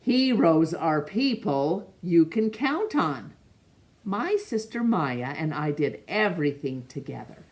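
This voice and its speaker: A woman reading a picture book aloud to children, expressive and pausing to ask young listeners questions. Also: low-pitched. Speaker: storytime reader.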